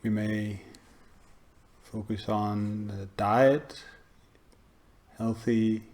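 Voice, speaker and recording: Slow, low-pitched male meditation guide. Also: level tone, darkish tone. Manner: halting, pausing between short phrases. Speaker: meditation teacher.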